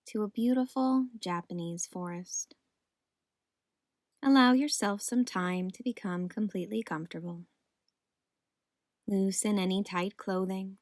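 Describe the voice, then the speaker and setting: Woman doing guided meditation, super slow n gentle, soothing, dry close mic. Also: mid-range voice, no echo.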